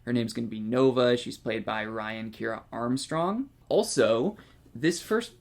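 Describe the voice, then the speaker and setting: A Canadian man with a gravelly, fry-edged voice shares horror news on a podcast. His talk is loose and conversational, with plenty of filler words as he thinks aloud. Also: medium pitch, a little slow. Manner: relaxed, chatty, heavy on "like" and "um". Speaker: podcast co-host. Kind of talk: casual horror-news chat.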